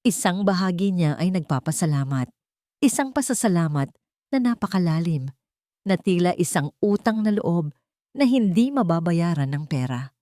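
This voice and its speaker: A woman narrating a romance audio drama in a measured, grave storytelling manner. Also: lowish voice, big pitch swings, mellow, dry recording, clean studio sound.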